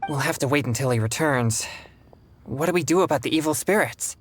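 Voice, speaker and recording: An actor playing a polite, earnest young exorcist in a video game, with formal, measured phrasing. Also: male voice, a little high, soft background music, natural voice. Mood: thoughtful. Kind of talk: video game character dialogue.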